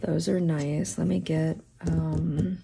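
A middle-aged woman chats her way through a nail art unboxing, her voice croaky and gravelly, low and mellow in tone. She talks slowly and loosely, wandering between thoughts with plenty of fillers. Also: steady tone, a little hiss or hum. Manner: rambling, thinking aloud with many fillers. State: croaky from a sore throat. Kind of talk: casual unboxing chat. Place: tabletop crafting desk, camera overhead.

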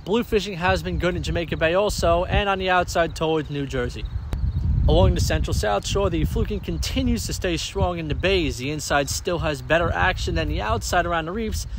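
A man's voice: fairly high pitch, brisk, noisy background. A young man hosting a fishing report, reading scripted news and interviewing an angler in a tackle shop. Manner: easygoing, encouraging interviewer. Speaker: fishing show host and managing editor.

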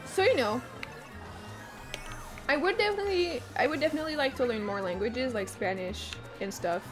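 A young woman with a French Canadian accent, French her first language, talks to her stream in a fairly high, excitable voice. She swears freely, hums little tunes and bursts into exclamations, with faint game music playing underneath. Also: normal speed. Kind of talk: casual live-stream chat while gaming.

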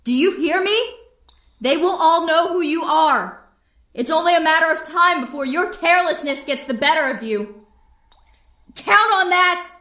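A woman's voice: extremely high pitch, expressive, unhurried, pushed and bright, heavily acted. An actress playing a proud, bitter female captain held prisoner, with theatrical, formal phrasing in a radio drama. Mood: anguished fury. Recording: roomy, echoing sound.

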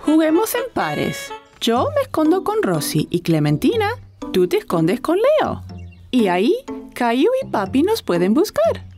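Warm young mother, children's cartoon, high voice, very animated, encouraging. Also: measured pace, faint music behind, full character voice. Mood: gentle. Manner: gentle, encouraging.

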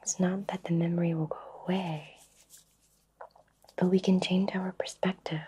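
A woman whispering breathily in a soothing, reassuring way with long pauses, guiding an ASMR Reiki session. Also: fairly low pitch, flat delivery, warm tone, a little hiss or hum. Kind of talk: whispered ASMR personal attention.

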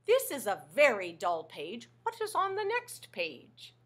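A woman's voice: on the high side, wildly swooping pitch, a little slow, slight background noise. A woman voicing a fearful little male monster in a storybook read-aloud, pleading, panicky and wailing. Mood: worried.

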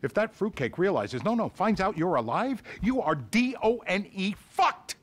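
An irate middle-aged American government agent for a video game. His voice is high and swoops with emphasis. He scolds in exasperation. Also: sing-song, normal speed, fairly bright tone, exaggerated voice. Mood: exasperated. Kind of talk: video game cutscene acting.

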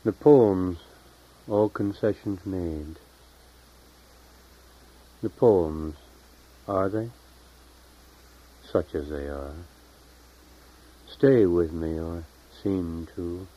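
A man reading his own poetry in a solemn, measured, deliberate way with long pauses, as a poet at a reading. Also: sits low. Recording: dull lo-fi 1970s tape recording.

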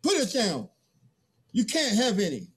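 A man's voice: high-pitched, huge pitch swings, measured pace, husky, heavily acted. A middle-aged man playing a boastful, stubborn early human in a dramatic play reading. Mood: defensive. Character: first Man, boastful, greedy, stubborn, awkward.

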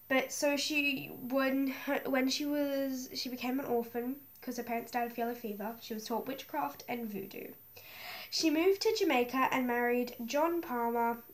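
A young woman vlogging in her bedroom with a stuffy nose, chatting to camera in a rambling way and reading from her phone. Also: high-pitched. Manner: chatty and rambling, many fillers and restarts. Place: small bedroom indoors. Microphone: webcam in front of her.

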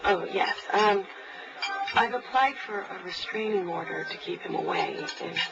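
Actress as nervous mom talking to an investigator, hesitant, lo-fi tape, noisy background. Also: female voice, medium pitch, close to an everyday voice. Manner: hesitant, apologetic, halting. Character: nervous, chatty wife and mother, investigator's client. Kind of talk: naturalistic audio drama dialogue.

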